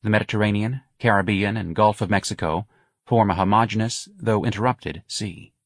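A male audiobook narrator with a fairly low voice reads literary prose aloud. His delivery is measured and expressive, with articulate phrasing, and the recording is close and dry. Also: no room sound.

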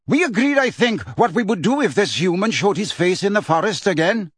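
A man's voice: sits high, animated, a little fast, a bit bright, breathy edge, no room sound, clean studio sound, voice pushed up, full character voice. A man voicing a contemptuous, haughty male centaur in an audiobook, with nasty, formal, sneering speech.

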